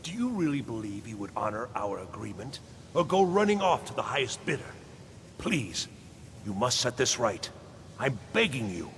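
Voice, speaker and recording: Anxious self-important game mage, halting and pleading, very animated pitch, long reverb, background rumble. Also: male voice. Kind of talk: fantasy video game voice acting. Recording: long reverb with clear low rumbling noise.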